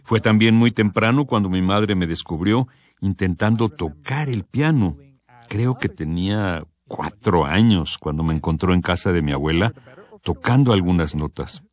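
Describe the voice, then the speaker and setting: A man with a notably deep, low voice narrates for a radio program. His delivery is calm and scripted, with reflective, measured phrasing that never hurries. It sounds like a studio read. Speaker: radio program voice reading a composer's testimony.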